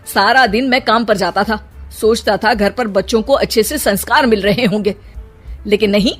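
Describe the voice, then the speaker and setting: A woman's voice: medium pitch, moderate pace, soft background music, close to an everyday voice. A woman voicing a frustrated middle-aged father in a cartoon story, blunt, bitter and complaining. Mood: irritated, blaming.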